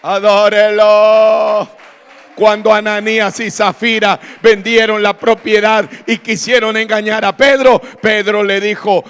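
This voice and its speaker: A man's voice: high-pitched, creaky, fairly bright tone, in a large hall. A man preaching as a pastor, delivering an impassioned, emphatic Bible-study sermon with repeated questions to his congregation. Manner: emphatic, exhorting. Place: large reverberant church hall before a congregation.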